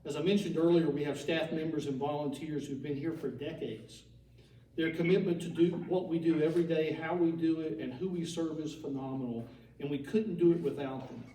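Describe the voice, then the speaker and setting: A man with a warm, slightly high voice hosts an annual meeting, talking with a few fillers and some light humor. He sounds far from the mic, and the echoey room carries faint ambient noise. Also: fairly quick. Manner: speaks with fillers and mild humor. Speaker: organization director hosting an annual meeting. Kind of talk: formal meeting address.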